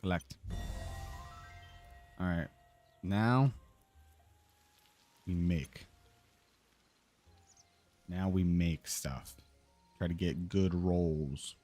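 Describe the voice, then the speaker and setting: A man with a low voice talks at an unhurried pace while live streaming a video game. His delivery is informal and sweary, as if half talking to himself and half to viewers. Faint music plays behind him. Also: dark tone. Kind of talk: casual gaming livestream chat.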